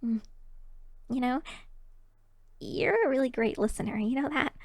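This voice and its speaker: A woman's voice: high voice, measured pace, darkish tone, no echo, clean studio sound. A woman voicing a shy, cheery young fox-woman barista in an ASMR roleplay, chatting to the listener in a hesitant, giggly, encouraging way. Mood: sincere, tender.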